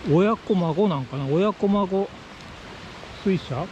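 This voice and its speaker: A man's voice: sits high, slow, a little mellow, highs cut off. A man making casual, murmured remarks to himself as he films a cycling vlog outdoors. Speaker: cycling vlogger. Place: outdoors on roadsides and on a bicycle. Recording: outdoor road noise, passing cars.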